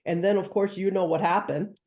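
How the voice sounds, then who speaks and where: A woman guest in a casual group chat, speaking haltingly with many fillers. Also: medium pitch, steady tone, darkish tone, dull audio.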